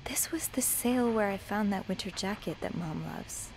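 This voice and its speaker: A woman's voice: medium pitch, normal speed, a little mellow, whispered, natural voice, low-quality recording. A woman playing a nosy, anxious young hotel maid in a mystery game, with a hesitant, earnest manner. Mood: musing. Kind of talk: narrative mystery game acting, natural dialogue.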